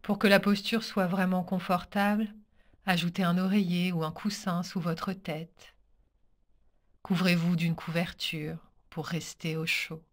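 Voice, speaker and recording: A woman with a low voice leads a guided meditation as a yoga teacher. She speaks very slowly and calmly, in short soothing instructions. Pauses separate her measured phrases. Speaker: yoga nidra teacher guiding a practice.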